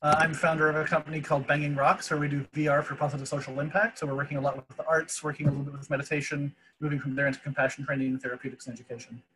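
A man from Canada introducing his work on a video call, halting and repeating words as he thinks. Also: on the high side, flat delivery, fairly bright tone, husky, poor audio. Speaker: VR developer, founder.

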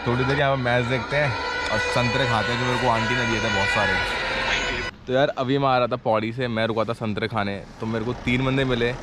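A man's voice: medium pitch, steady tone, fairly quick, heavy background noise. A man in his thirties chatting casually to camera as a travel vlogger, friendly and informal, recorded outdoors on a handheld camera. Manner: friendly, addresses viewers and locals as brother. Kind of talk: casual vlog chat to camera. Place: outdoors on mountain roads and villages.

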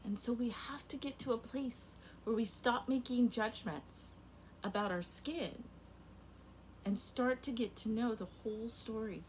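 A young woman teacher reading a picture book aloud to kindergarten children, very expressive, her loudness rising and falling sharply. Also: a little high, measured pace, a little hiss or hum, poor audio. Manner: expressive and animated, with big swings in loudness. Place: home room in front of a rainbow feather backdrop.

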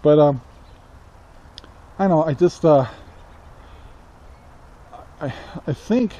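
An American man in his sixties talking casually to camera outdoors, halting, reflective and plain-spoken. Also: fairly high pitch, warm tone, dull audio. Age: 60s. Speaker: country living vlogger. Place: outdoors on a rural yard beside a metal barn. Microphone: camera at arm's length. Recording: faint outdoor ambient noise.

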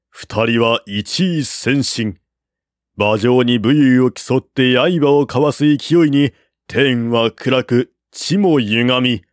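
A man narrating a classical war novel as an audiobook, in a measured, even storytelling manner. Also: medium pitch, no echo, studio quality.

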